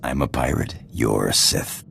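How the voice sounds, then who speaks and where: A man playing a cocky, roguish space pirate in a video game, with a gravelly drawl, casual slang and a flirtatious swagger. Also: a little slow, fairly bright tone, a little hiss or hum, exaggerated voice. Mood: teasing, defiant. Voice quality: gravelly, very deep voice. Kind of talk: video game character acting.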